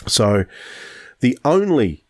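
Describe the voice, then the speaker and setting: A man co-hosting a podcast in casual review chat, talking in a measured way and often restarting his phrases. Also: mid-range voice, sing-song, darkish tone. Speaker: podcast co-host.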